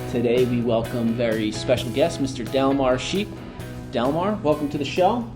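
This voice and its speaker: A man's voice: mid-range voice, normal speed, over music. A man hosting a podcast, who jokes loosely and keeps the chat upbeat. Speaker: podcast host. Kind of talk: casual interview hosting.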